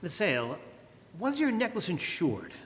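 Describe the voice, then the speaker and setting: A man playing an earnest, authoritative amateur detective in old-time radio drama style, deliberate and firm. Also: sits high, huge pitch swings, fairly bright tone, exaggerated voice. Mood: probing, composed. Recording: live stage, reverberant church hall.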